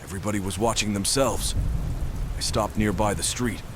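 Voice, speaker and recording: A man playing a brooding stalker who narrates an audio drama in deliberate, detached, literary phrases. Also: lowish voice, background noise, natural voice. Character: brooding male stalker narrating his nightly watch. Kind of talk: scripted first-person narration, audio drama.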